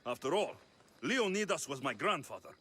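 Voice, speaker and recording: An actor playing a bold, wry young mercenary in a video game cutscene. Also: male voice, on the high side, very animated, normal speed, fairly bright tone, slightly rough, heavily acted. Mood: pleased, cocky.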